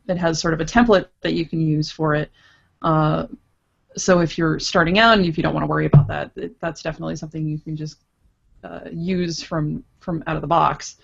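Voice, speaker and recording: A woman's voice: low voice, steady tone, moderate pace, vocal fry, slightly rough, highs cut off. A woman explaining her work casually as an interview guest, in hesitant, stop-and-start phrasing. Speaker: guest, visual novel creator.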